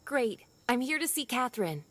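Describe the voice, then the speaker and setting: A woman's voice: a little high, sing-song, moderate pace, a bit bright. An actress playing a curious female newcomer in a survival game, speaking in short, direct replies. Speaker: player character. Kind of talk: game cutscene voice acting.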